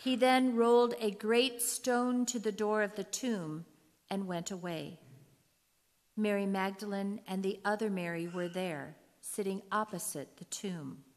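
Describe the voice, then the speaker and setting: A woman's voice: medium pitch, fairly even intonation, a little slow. A woman narrating scripture solemnly from a script, in a large reverberant room. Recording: echoey hall with long reverb.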